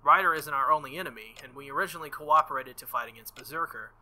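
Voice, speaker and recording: A man's voice: on the high side, fast talker, bright, forceful tone. A man streaming a visual novel, reading its dialogue aloud and adding playful, jokey commentary, sometimes stumbling and rereading. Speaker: video game streamer.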